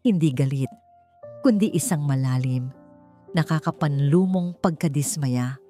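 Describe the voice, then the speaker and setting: A woman with a Filipino accent narrating a scripted story in a measured, dramatic way. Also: low voice, warm tone, faint music behind.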